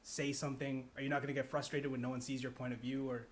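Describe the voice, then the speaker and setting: A man's voice: mid-range voice, little pitch movement, fast, slight background noise. A man chatting casually, explaining his idea and checking in with his listeners. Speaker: podcast panelist pitching a movie idea. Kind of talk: casual chat.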